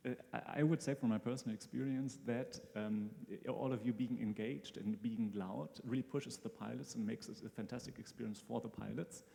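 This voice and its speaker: A man speaking as a guest expert, explaining technical things in a measured way in a live interview. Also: mid-range voice, fairly even intonation, creaky, warm tone. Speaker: brain-computer interface researcher, guest expert. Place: large hall at a live event. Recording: hall reverb, faint music behind.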